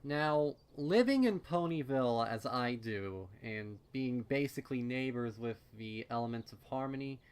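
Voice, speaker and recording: A man plays a young mare who tends flowers and tells her own story. She is chatty and a little nervous, stammering and wandering into asides in a storybook narration at a medium pitch. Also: smooth, natural voice. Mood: wry, explanatory. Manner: rambling, hesitant, stammering asides. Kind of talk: first-person storybook narration in character.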